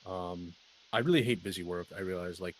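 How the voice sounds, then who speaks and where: A man in his twenties with a fairly low voice talks casually among friends. His delivery is loose and slangy, dotted with swearing. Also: brisk, slight background noise, low-quality recording. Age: 20s.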